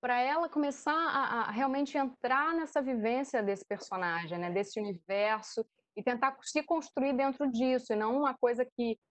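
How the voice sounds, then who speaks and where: A woman's voice: high-pitched, normal speed, hardly any pauses. A woman with a Brazilian accent, speaking as a theatre director and guest, explaining things off the cuff in an online debate.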